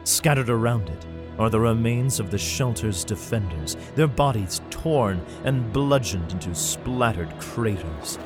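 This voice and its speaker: A man playing a weary father who narrates a science fiction audio drama in the first person, grave and measured. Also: on the low side, expressive. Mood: grim. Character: weary father fleeing with his son through a besieged hive city. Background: music.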